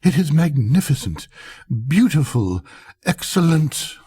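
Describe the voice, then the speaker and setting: Fawning storybook courtiers played by a man, pitched well above his natural voice. The delivery is effusive and exclamatory, swooping through lavish admiration with a slightly husky edge. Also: sing-song, slow, dark tone, full character voice. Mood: gushing. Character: gushing flattering courtiers and crowd, loudly admiring.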